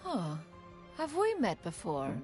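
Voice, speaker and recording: A woman's voice: mid-range voice, huge pitch swings, takes it slowly, darkish tone. Haughty, verbose noblewoman, swooping intonation. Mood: curious. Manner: formal, archaic, verbose, speaks of herself as one. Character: haughty, proud, self-exalting adept woman of ancient stature. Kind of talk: video game voice acting. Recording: music under the voice.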